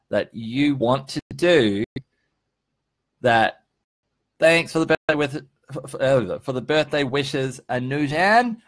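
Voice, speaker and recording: A man's voice: a little high, a bit bright, no echo. A man in his early thirties chatting casually and earnestly on a livestream, speaking informally with some swearing and emphatic points. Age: early 30s. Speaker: vlogger hosting a live stream. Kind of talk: casual motivational livestream chat, answering viewers.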